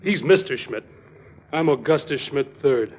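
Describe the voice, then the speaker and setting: A bitter, lovesick American heir drowning his sorrows in an old radio drama. He speaks bluntly and sulkily in a mid-pitched voice, on a muffled vintage recording. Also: lively intonation, measured pace. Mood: glum, boastful.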